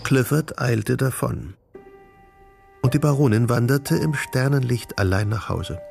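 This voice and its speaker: A man narrating a literary radio drama in a measured storytelling manner, with a creaky voice. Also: mid-range voice, darkish tone, faint music behind.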